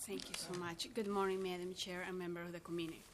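A woman's voice: on the low side, little pitch movement, quick pace, slight background noise. A woman from Peru speaks English with a Peruvian Spanish accent, her phrasing plainly non-native. She gives earnest testimony before a committee. Faint room ambience sits behind her voice. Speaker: outreach worker testifying.

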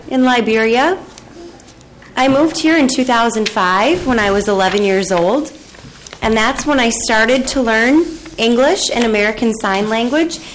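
A woman giving prepared testimony to a legislative committee, telling a first-person account in a steady, measured way. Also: a little high, slight background noise, low-quality recording. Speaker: committee witness. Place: legislative hearing room.

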